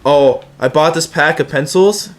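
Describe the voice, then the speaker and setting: A young man with a slightly high voice tells stories about himself like a YouTuber chatting to viewers. His delivery is casual and slangy, with some swearing. He slips into quoted back-and-forth dialogue as he goes. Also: a little slow. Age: young adult. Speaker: YouTuber telling personal stories. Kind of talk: casual anecdotal storytelling.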